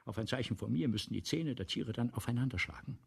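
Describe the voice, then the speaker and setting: An actor playing an excitable, domineering, grandiose playwright in a German radio play, breathy and theatrical, often lapsing into whispers. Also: male voice, mid-range voice, quick pace. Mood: rapturous, grandiose. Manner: theatrical, pompous, insistent.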